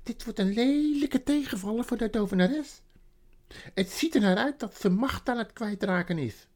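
A man voicing an excitable male beaver who stammers and tumbles over his words. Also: high voice, normal speed, pitched above the performer's own voice. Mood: overjoyed. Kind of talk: storybook reading.

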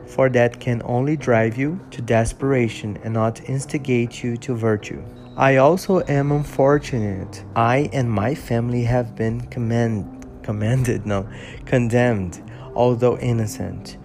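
A man reading a gentle blind old exile. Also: mid-range voice, unhurried, faint music behind, close to an everyday voice. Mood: earnest.

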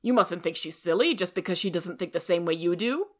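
A woman's voice: lowish voice, animated, brisk. A woman voicing a loyal, solemn, plain-spoken young man in a storybook, with firm, emphatic delivery. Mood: loyal, correcting.

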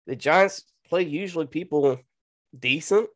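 Man, slow pace, relaxed, casual sports chat. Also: on the high side. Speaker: podcast co-host.

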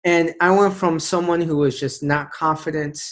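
A man in his late twenties, a motivational speaker telling his story as an interview guest, earnest and emphatic, slowing down to stress key phrases. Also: a little high, fairly even intonation, fairly bright tone. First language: an Indian language. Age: 20s.

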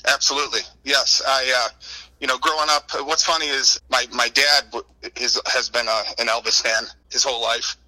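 Male interview guest, middle-aged, very bright forward tone, conversational and wordy storyteller. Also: medium pitch, fairly even intonation, normal speed, low-quality recording. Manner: wordy, informal, repeats words and says "you know" often. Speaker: interview guest, book co-author. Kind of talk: conversational interview answers, telling anecdotes.

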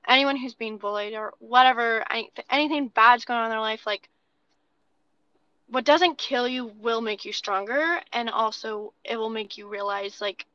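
Bright, high-voiced young American woman, muffled audio. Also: moderate pace, no room sound. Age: young adult. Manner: earnest, chatty, informal. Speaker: podcast guest. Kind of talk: casual interview chat.

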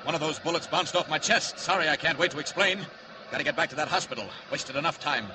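An actor playing a confident, wry superhero who thinks aloud briskly in an old 1940s radio serial. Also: male voice, on the high side, natural voice. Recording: noisy old radio serial, loud vehicle effects.

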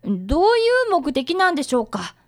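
An earnest schoolboy apprentice detective in a radio drama. The voice is high and swings animatedly. He narrates and speaks with courteous, careful formality. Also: very animated, brisk. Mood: earnest, puzzled. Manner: polite, formal narration and dialogue. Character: earnest, polite young boy apprentice detective.

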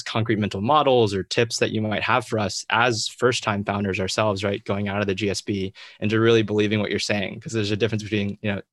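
Young man interviewer, low and dry. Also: a bit bright, dry recording. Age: late 20s to early 30s. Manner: earnest, uses fillers like "you know". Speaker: student interviewer. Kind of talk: moderated interview questions.

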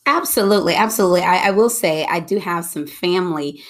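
A woman's voice: on the low side, fast talker. A woman hosting a podcast interview, upbeat and exclamatory as she welcomes her guest. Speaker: podcast host.

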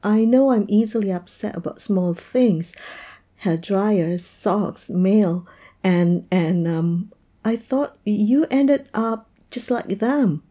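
A woman's voice: mid-range voice, mellow. A woman acting an oversensitive young woman in an intimate audio roleplay, speaking to her partner in a hesitant, plaintive way. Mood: hurt, upset. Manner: hesitant, plaintive, halting delivery. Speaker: roleplay voice performer. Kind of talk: intimate audio roleplay, one-sided dialogue.

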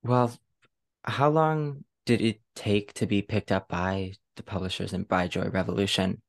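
A woman's voice: a bass voice, steady tone, unhurried. A woman hosting a casual interview, speaking informally and with enthusiasm in loosely phrased sentences. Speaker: podcast host.

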